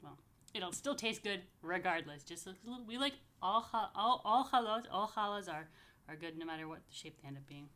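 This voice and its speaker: A woman's voice: medium pitch, lively intonation, fairly quick, sharp tone. A woman rabbi leading a casual story time for young children, explaining things simply and repetitively with chatty, playful asides. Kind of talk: casual, child-friendly religious talk with blessings. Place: apartment.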